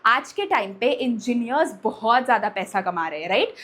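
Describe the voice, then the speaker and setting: A woman's voice: fairly high pitch, expressive, normal speed, a bit bright. A woman speaking directly to students as an online teacher, in a familiar elder-sister way with frequent check-in tags and English mixed in. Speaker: online teacher and channel host. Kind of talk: direct-to-audience vlog talk with a sponsored pitch.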